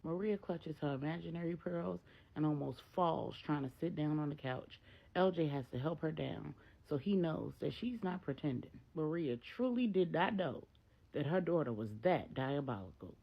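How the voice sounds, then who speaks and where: A woman's voice: deep voice, animated, normal speed, vocal fry, slightly rough, dull audio. A woman storyteller narrating a dramatic tale in the present tense.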